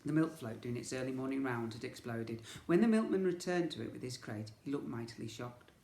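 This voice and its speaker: A man's voice: mid-range voice, animated, fairly quick, a little mellow, roomy sound. A man narrating a storybook for young children, in the manner of a teacher reading aloud.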